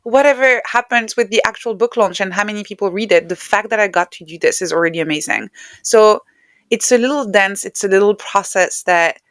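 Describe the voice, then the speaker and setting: A woman's voice: mid-range voice, a little fast, a bit bright, no echo, studio quality. A woman speaking English as a second language, answering reflectively as a guest in an interview conversation. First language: not English. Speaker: podcast guest, writer and neuroscientist.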